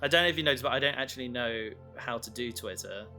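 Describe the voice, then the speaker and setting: A man chatting casually as a podcast co-host, halting and often interrupting himself as he rambles. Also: medium pitch, bright, forceful tone, faint music behind. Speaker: podcast co-host and audio drama creator.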